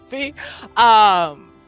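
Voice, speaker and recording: A woman's voice: medium pitch, animated, very slow, a bit bright, muffled recording, faint music behind. A woman playing a tabletop roleplaying game, describing her character casually in a hesitant, giggly way.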